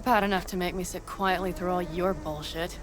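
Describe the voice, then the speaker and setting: An actress playing a hot-tempered young nomad mercenary in a video game, blunt, sarcastic and quick to swear. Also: female voice, on the low side, steady tone, background noise, close to an everyday voice. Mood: terse, defensive. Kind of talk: cinematic video game voice acting.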